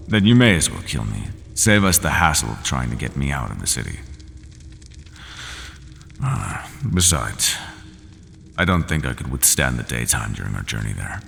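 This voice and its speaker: A man voicing a resigned, half-human horned monster in an audio roleplay, speaking slowly and formally without contractions. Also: a bit bright, slight background noise, exaggerated voice. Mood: pleading. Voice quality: very deep and gravelly. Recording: long hall reverb, faint ambience.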